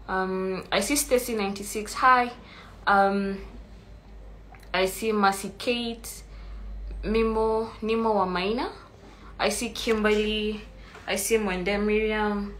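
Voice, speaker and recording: A Kenyan woman hosts a relaxed live stream at a middle pitch, sounding a little stuffy from an oncoming flu. She chats easily and greets her viewers one by one. A faint hiss of background noise sits under her voice. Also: slow pace, long pauses, rough recording. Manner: chatty, greets viewers by name. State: coming down with a flu, clearing her throat. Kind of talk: casual live-stream chat.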